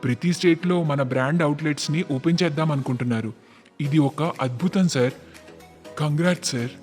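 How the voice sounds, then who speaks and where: An excited, deferential company manager , acted by a man in a cartoon tale. His male voice sits slightly high, with music playing behind it. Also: fairly quick, natural voice. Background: background music.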